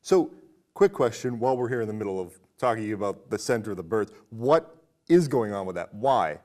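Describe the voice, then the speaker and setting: A man's voice: on the low side, big pitch swings, a little fast, a little mellow. A man hosting a casual film discussion in a chatty, jokey way. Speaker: podcast host.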